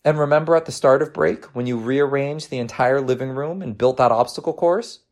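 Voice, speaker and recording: A man voicing a gentle, teasing working mother in a storybook reading, coaxing and reassuring. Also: mid-range voice, brisk, pitched above the performer's own voice, natural voice. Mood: encouraging, playful.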